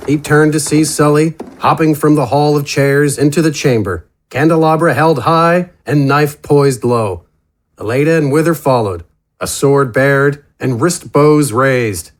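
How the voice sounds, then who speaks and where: A man's voice: fairly high pitch, measured pace. Man narrating a dramatic fantasy tale. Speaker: narrator of a fantasy audio story.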